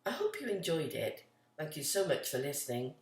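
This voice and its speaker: Low-voiced woman reading a children's story. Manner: expressive, deliberate storybook delivery with pauses. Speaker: storyteller, charity patron. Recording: roomy, echoey, distant from the mic.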